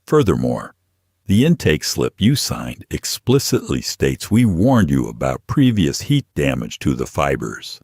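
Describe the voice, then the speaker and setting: A man voicing a composed, firm female shop owner in an audiobook, speaking calmly and precisely. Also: very deep voice, measured pace, breathy edge, no room sound, studio quality, heavily acted. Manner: calm, precise, unyielding.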